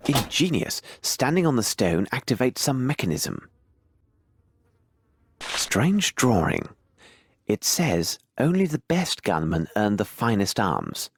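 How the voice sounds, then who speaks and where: An actor playing a shrewd, self-assured Victorian detective with a British accent in an adventure game, speaking precisely and formally as if musing aloud. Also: male voice, medium pitch, huge pitch swings, slow, slightly rough, no echo, heavily acted. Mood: intrigued, musing. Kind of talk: scripted adventure game dialogue.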